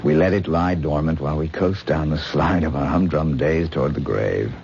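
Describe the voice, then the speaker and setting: An actor playing a famous, self-pitying stage actor who gives lofty, melodramatic speeches in a 1970s radio drama. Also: male voice, rumbling low, normal speed, creaky, a little mellow. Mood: impassioned. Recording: dull old radio broadcast with faint hiss.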